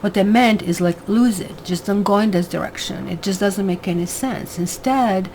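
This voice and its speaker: A woman's voice: medium pitch, moderate pace, darkish tone, slight background noise. A woman from Croatia with a Croatian accent, giving a rambling, casual tarot reading full of fillers and tag questions. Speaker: tarot reader.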